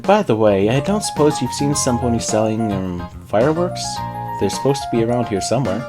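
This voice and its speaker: A man's voice: mid-range voice, animated, a little fast, loud music behind the voice, close to an everyday voice. A man voicing a timid, polite young female pony in a story, hesitant and oddly nonchalant.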